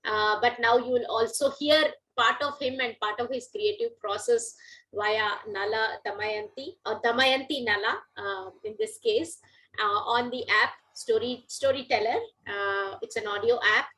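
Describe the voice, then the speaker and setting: A woman talks as an interviewer in a fairly high voice. She is agreeable and conversational, often adding short words of acknowledgement. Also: normal speed, pushed and bright, low-quality recording. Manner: agreeable, frequent brief acknowledgements.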